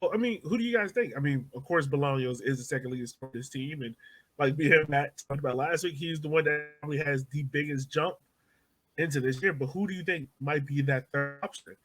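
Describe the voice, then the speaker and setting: A man's voice: fairly high pitch, quick pace, fairly bright tone, rough recording. A man hosting a casual sports podcast, talking conversationally and putting questions to his co-hosts. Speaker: podcast host.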